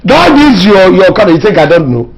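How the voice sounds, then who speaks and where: A man's voice: sits high, big pitch swings, fairly quick, gravelly, crowd noise, exaggerated voice, low-quality recording. An actor with a Nigerian accent playing a distrustful middle-aged husband and father who scolds and scorns. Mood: contemptuous. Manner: scornful, scolding, repeats himself.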